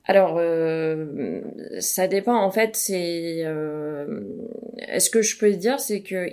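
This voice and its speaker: Brief: middle-aged woman from Iran, slightly low voice, thoughtful, halting answers full of fillers. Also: darkish tone, clean tone. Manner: many filler pauses, thoughtful. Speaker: research mathematician, interviewee. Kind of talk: hesitant interview answers.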